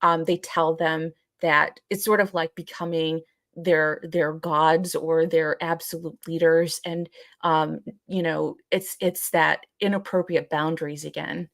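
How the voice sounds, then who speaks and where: A woman's voice: low voice, little pitch movement, a little slow, no room sound, studio quality. A woman co-hosting a podcast, explaining points in casual discussion and often rewording them as she goes. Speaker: live podcast co-host. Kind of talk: casual explanatory discussion going through a list.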